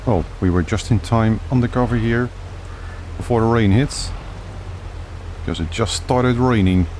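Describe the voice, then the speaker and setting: A man giving relaxed, casual gameplay commentary, thinking aloud with many fillers, his voice a little worn as if he is losing it. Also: fairly low pitch, unhurried, a little hiss or hum. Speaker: gaming channel host.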